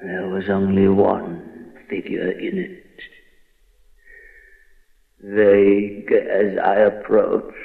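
Anguished Gothic outcast, very slow delivery, creaky voice, halting, theatrical, radio horror monologue, cavernous reverb. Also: male voice, fairly low pitch, phone-quality sound, heavily acted. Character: tormented ghoulish outsider, male, horrified and anguished, Gothic. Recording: radio recording with long hall reverb.

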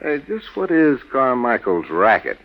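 An actor playing a cool, wry private investigator in a 1940s radio drama, terse and American. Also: male voice, medium pitch, wildly swooping pitch, measured pace. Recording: dull, noisy old radio recording.

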